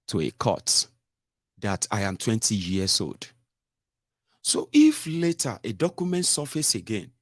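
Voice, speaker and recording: A man's voice: on the low side, dry recording, studio quality. A middle-aged Nigerian man giving combative, mocking political commentary with rhetorical asides. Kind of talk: opinionated solo commentary.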